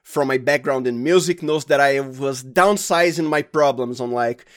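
A man with a Brazilian accent, a native Portuguese speaker, talking casually and haltingly as an interview guest. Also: mid-range voice. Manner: halting, informal, often says "like". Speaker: sound designer and podcast guest.

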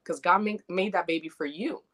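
Woman, from New York, born in the Dominican Republic, doula guest, chatty, earnest, bright tone, lowish pitch. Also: brisk. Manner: earnest and chatty, animated retelling. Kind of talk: casual interview conversation.

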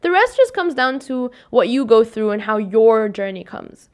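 Young woman podcast host, slightly high voice, scratchy, chatty and self-correcting. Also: very animated, moderate pace. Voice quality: scratchy, deepens with long talk. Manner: rambling, self-correcting, upbeat sign-off. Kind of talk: casual solo podcast talk.

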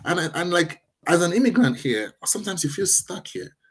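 A man's voice: sits high, a crowd in the background. A man in his thirties from Nigeria, with a partly Americanized Nigerian accent, talking emphatically in casual conversation. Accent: Nigerian-inflected, partly Americanized. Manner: emphatic and animated, quick restarts.

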